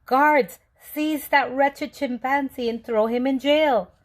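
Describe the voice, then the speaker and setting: A woman's voice: high voice, fairly even intonation, takes it slowly, voice pushed up. A woman voicing a wicked, bossy chimpanzee mayor from a storybook, bellowing and wailing furious commands. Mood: furious, commanding.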